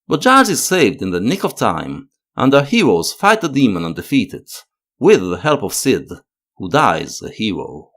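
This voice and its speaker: A man narrating a scripted analytical video essay in a measured, expressive way. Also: medium pitch.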